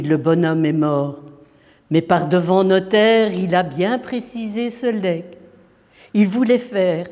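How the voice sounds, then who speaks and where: A man reciting poetry in a dramatic, declamatory manner, phrase by phrase with deliberate pauses. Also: sits high, darkish tone. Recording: long reverberant hall echo.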